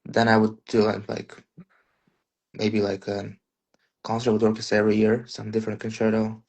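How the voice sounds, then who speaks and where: A man's voice: fairly low pitch, monotone, normal speed, vocal fry, a little mellow. A man in his twenties from Uzbekistan, a native Russian speaker, chatting easygoing as a guest pianist over a phone live stream. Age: 20s. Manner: easygoing, self-deprecating, filler-heavy. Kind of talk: casual interview chat.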